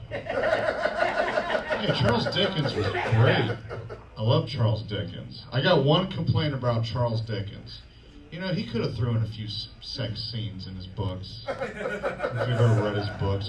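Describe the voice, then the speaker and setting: A man's voice: fairly low pitch, recorded from a distance. A forty-year-old man from Japan performing a crude, self-deprecating stand-up routine on stage. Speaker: stand-up comedian. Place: comedy venue on stage. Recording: echoey live club recording with crowd. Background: audience.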